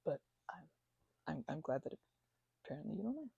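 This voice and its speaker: A woman with a husky, gravelly voice rambles to the listener as her roommate in an ASMR roleplay, stammering and restarting, sometimes sinking into a whisper. Her tone is mellow and dark, and she sits close to the microphone in a dry, dead room. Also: low voice, big pitch swings, a little fast, no room sound, dull audio. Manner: rambling and hesitant, with many fillers and stammered restarts; drops into soft whispers at times.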